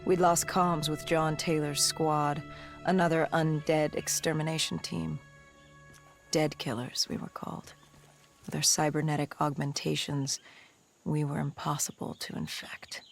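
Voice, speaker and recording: A woman playing a haunted, cybernetically enhanced soldier who gravely recounts humanity's fall in a video game. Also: low-pitched, flat delivery, a little slow. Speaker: protagonist narrator. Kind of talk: cinematic video game narration. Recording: music behind the voice.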